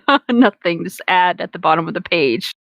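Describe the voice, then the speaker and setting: A woman's voice: fairly low pitch, animated, moderate pace, no echo, dull audio. A woman reading fan fiction aloud with sarcastic, sweary casual commentary, close on the microphone. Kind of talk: casual comedic commentary and read-along.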